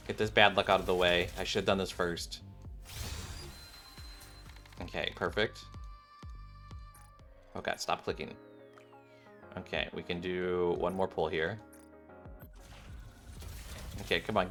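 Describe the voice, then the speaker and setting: Low-voiced man rambling over game music. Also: fairly bright tone. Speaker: video game streamer. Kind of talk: casual live stream chat over gameplay. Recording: game music playing behind the voice. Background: video game music.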